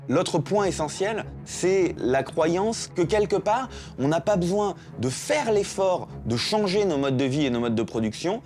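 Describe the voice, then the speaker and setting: A man's voice: sits high, expressive, measured pace, darkish tone, soft background music. A man giving analytical, argumentative commentary in an interview for a documentary.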